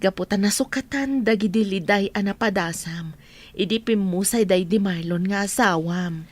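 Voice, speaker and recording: A woman playing a loyal, warm and respectful friend in an Ilocano radio drama. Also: mid-range voice, normal speed, close to an everyday voice. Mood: supportive.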